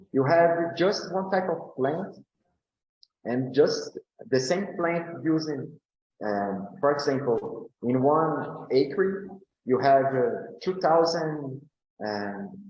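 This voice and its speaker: A man from Brazil, speaking on a panel in slow, halting English with many fillers and restarts. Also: mid-range voice, slight background noise. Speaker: agroecology speaker. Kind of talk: panel talk answering questions. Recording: roomy, dull recording.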